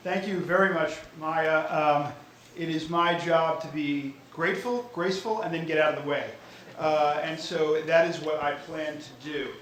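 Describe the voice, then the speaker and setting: A man with a slightly high voice introduces the speaker in a loose, wry way, quick with some hesitations. His voice booms around a very echoey hall over a faint hum. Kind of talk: informal introduction at a lecture. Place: university lecture hall.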